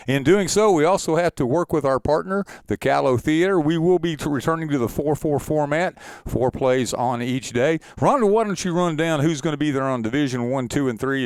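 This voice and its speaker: A man's voice: medium pitch, dry recording. A man co-presenting an organizational webinar as a director, making announcements in an upbeat, promotional way.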